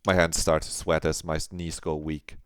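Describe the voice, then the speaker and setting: A man's voice: rumbling low, level tone, normal speed, smooth, no room sound, clean studio sound. A man streamer reading a visual novel aloud in a subdued, murmured voice.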